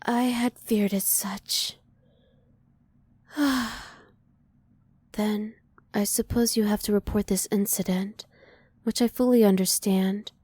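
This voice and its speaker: Woman playing a soft, mellow, reserved alien scientist. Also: mid-range voice, steady tone, husky, no echo. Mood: apologetic, restrained. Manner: soft, measured, formal, restrained. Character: curious, formal, emotionally reserved female alien scientist. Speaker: voice actor. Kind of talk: ASMR roleplay.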